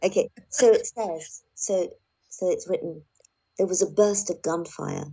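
A woman's voice: lowish voice, a little slow, warm tone, a little hiss or hum, poor audio. A woman who works as an actor and narrator, speaking in a podcast conversation. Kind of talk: podcast conversation with read-aloud passages.

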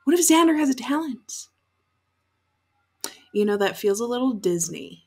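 A woman's voice: on the high side, very animated, moderate pace, a little mellow. A young woman giving casual, sweary reaction commentary in a room at home. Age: young adult. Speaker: reaction video host. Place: small furnished room at home, indoors.

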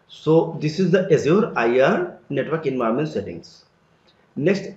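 A man teaching a screen-recorded software tutorial, explaining each step as he clicks through an interface. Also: medium pitch. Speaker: tutorial instructor. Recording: roomy, dull lo-fi sound.

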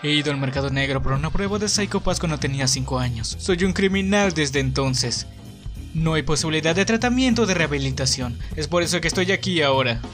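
A cheeky young enforcer with a bitter edge, acted by a man in an anime fan dub. His voice is fairly high, with a Latin American Spanish accent and casual, expressive delivery over music. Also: brisk, close to an everyday voice. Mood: bitter, intense. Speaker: amateur fandub voice actor.

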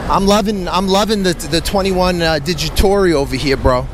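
A man's voice: sits high, moderate pace, background noise. A man vlogging while he walks outdoors on a city street, talking casually in a blunt, emphatic way and swearing freely. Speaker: vlogger and relocation consultant.

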